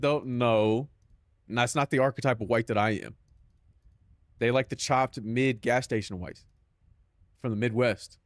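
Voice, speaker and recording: A man's voice: medium pitch, dry recording. Southern Californian guy with a dry sound, streaming. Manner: loose, profane banter peppered with "bro". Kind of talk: casual stream chat.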